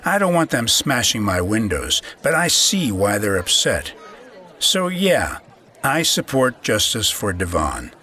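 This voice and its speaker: A man playing an earnest, shaken townsman who answers an interview in a plainspoken, halting way. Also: lowish voice, sing-song, sharp tone, heavily acted. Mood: troubled, sincere. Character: earnest male townsman, shaken store owner, being interviewed. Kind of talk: naturalistic acted interview.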